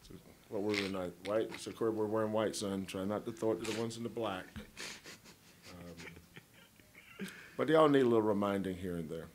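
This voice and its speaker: A man's voice: lowish voice, moderate pace, long pauses, a little hiss or hum. A man, a head basketball coach, answering questions at a postgame press conference in a folksy, rambling way, full of homespun analogies and teasing.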